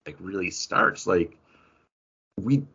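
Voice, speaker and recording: A man's voice: sits low, lively intonation, slow pace, pushed and bright, breathy edge. A man co-hosting a pop culture podcast, chatting in a jokey, conversational way. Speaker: podcast co-host. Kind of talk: casual pop culture chat. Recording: dull, lo-fi podcast audio.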